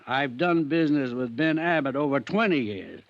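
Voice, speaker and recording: An American actor playing an older country veterinarian in 1950s radio style, folksy, plain-spoken and stubbornly testy. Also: male voice, medium pitch, expressive, moderate pace, no echo, lightly acted. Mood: defensive, indignant. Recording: dull vintage radio recording.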